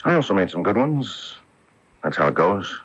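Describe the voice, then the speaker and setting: An actor in a radio drama playing a defensive, self-deprecating former golfer husband who talks hesitantly and ruefully. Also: male voice, low voice, sing-song, moderate pace, heavy background noise. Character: bitter, defensive former pro golfer husband with a guilty secret. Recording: muffled old AM radio broadcast.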